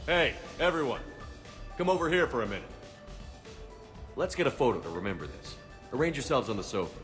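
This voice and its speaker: A man's voice: medium pitch, expressive, brisk, fairly bright tone, close to an everyday voice. An actor playing an easygoing male military commander in a video game, talking in a casual, friendly, direct way. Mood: relaxed, hearty. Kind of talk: video game character performance. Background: prominent music.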